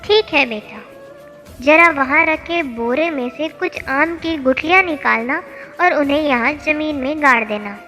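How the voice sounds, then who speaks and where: कहानी में एक महिला धैर्यवान, स्नेही बुज़ुर्ग गुरुजी का किरदार बोल रही है, जो पिता की तरह ठहरकर समझाते हैं।. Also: female voice, high-pitched, unhurried, bright, forceful tone. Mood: calm. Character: patient, gentle old male guru teaching a lesson. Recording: long hall reverb, music bed.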